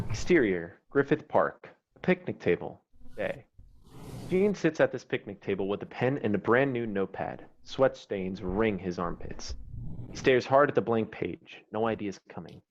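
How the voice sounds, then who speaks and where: A man narrating stage directions from a screenplay in a scripted read. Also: lowish voice. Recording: dull, noisy online recording with clicks.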